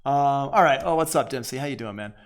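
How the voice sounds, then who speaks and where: A man's voice: mid-range voice, fast talker, clear, resonant tone. A man teaching audio production in a casual live online tutorial, thinking aloud informally as he works.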